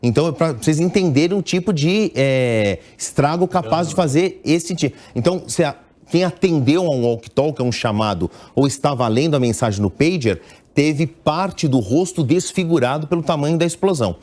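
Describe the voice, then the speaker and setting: A middle-aged man with a Brazilian Portuguese accent giving analytical news commentary as an international editor, measured and explanatory, with small restarts. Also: a little high, animated, darkish tone.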